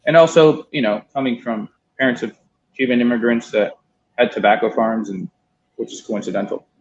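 A man's voice: medium pitch, brisk, slight background noise. Laid-back man from Miami, dull sound. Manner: relaxed, swears casually, quotes others. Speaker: guest, cigar importer. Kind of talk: casual storytelling interview.

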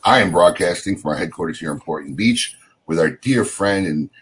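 Radio host guy, low husky voice, upbeat plugs for the next segment. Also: animated, brisk, fairly bright tone. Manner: upbeat, promo-style sign-offs. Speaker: radio talk show host. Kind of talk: casual talk radio chat.

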